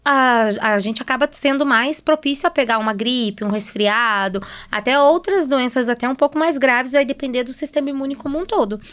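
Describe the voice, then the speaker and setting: A woman with a Brazilian accent and a slightly high voice speaks as a nutrition expert on a podcast. She explains step by step in a didactic way, often adding little tag questions to check she is followed. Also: moderate pace, hardly any pauses, fairly bright tone. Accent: Brazilian Portuguese. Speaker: guest nutritionist.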